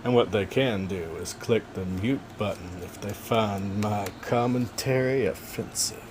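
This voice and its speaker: Gentle folksy man narrating. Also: on the low side, unhurried, background noise. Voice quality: relaxing voice. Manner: gentle, folksy, joking asides. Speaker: narrator of wildlife camera footage. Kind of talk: casual narration over video.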